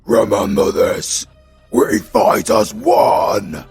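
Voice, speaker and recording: A very raspy goblin king, bombastic and theatrical. His pitch swings wildly and he drags out his words in this fantasy audio drama. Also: huge pitch swings, very slow, a little mellow, soft background music, exaggerated voice. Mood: angry, barking. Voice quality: gravelly, rasping. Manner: theatrical, grandiose proclamations. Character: bombastic gravelly goblin king, servile yet proud. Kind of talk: fantasy audio roleplay voice acting.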